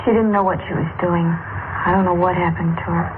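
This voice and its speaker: Actress as hard-edged American girl of twenty-one, sullen monotone, lowish, crackly vintage radio drama. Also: brisk, close to an everyday voice. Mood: bitter. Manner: curt, flat, defensive. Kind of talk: 1950s radio acting. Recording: noisy, tinny 1950s radio recording.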